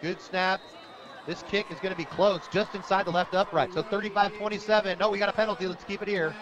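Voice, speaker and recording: An American man doing live play-by-play of a football game as a radio announcer, excitable on the big plays. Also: high voice, quick pace, a crowd in the background. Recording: dull lo-fi radio sports broadcast.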